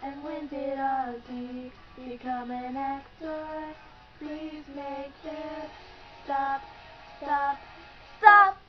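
A young woman sings along to pop-rock in a high, playful amateur voice. The words come at an unhurried pace. The recording is dull and homemade, with the music clearly audible behind. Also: steady tone. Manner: playful, giggly. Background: pop-rock song playing.

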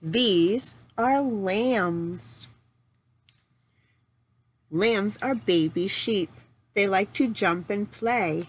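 A woman in her forties reading aloud to young children as their teacher, phrasing things simply and deliberately and asking them questions. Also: medium pitch, slightly rough. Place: home room in front of a large window. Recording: steady noticeable background noise.

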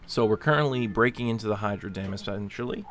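A man casually commentating a game as he plays, chatting and reacting aloud in a relaxed way. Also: lowish voice, quick pace, background noise. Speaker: gameplay commentator. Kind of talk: casual let's-play commentary.

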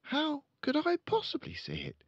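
A man voicing a clever little girl in a storybook, gentle, quiet and matter-of-fact. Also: extremely high pitch, huge pitch swings, normal speed, no echo, lo-fi sound, pitched above the performer's own voice, heavily acted. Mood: calm, gentle. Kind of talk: storybook read-aloud character voice.

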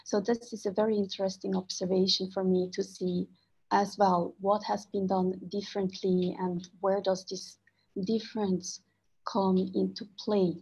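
Husky woman on a flat, dull video call. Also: mid-range voice. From Switzerland. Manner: earnest, measured, with frequent um and uh. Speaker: panelist. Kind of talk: panel discussion remarks.

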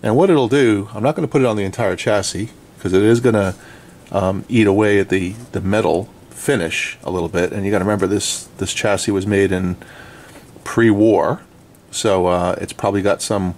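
A man giving a relaxed, chatty hands-on repair tutorial at his indoor workbench, talking to the camera while he works. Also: fairly low pitch, moderate pace. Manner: relaxed, chatty, frequent "okay?" and "um". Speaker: vintage radio restorer.

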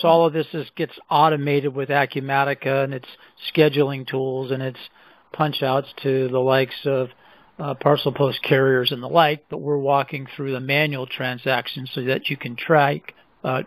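A man presenting a software demonstration in scripted, explanatory narration with measured phrasing. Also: mid-range voice, level tone, no echo, rough recording. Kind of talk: scripted product demo narration.

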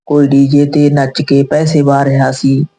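Female storyteller, deep register, warm dark tone, for scripted emotional moral tales. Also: completely flat delivery, normal speed, husky.

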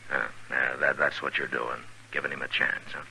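Frontier marshal: very low, forceful voice, steady and understated, vintage radio drama. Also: male voice, vocal fry, exaggerated voice. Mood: probing, gentle. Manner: hesitant pauses, measured and understated. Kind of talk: 1950s radio drama acting. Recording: noisy vintage radio recording.

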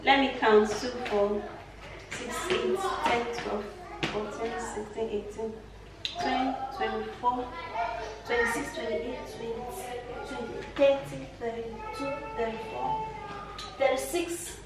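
Vlogger lady in her 30s, kinda high voice, talking from across a big echoey living room. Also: fairly even intonation, slow pace. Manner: chatty, laughs easily, counts aloud. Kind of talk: casual vlog chat. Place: large tiled living room, indoors. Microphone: far camera. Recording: echoey room from far off, noisy.

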